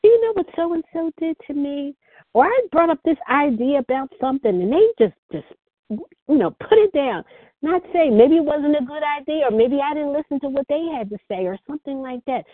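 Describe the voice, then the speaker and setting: A soft-spoken woman telling her personal recovery story as the speaker at a phone meeting. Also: high voice, animated, brisk, no room sound. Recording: phone line.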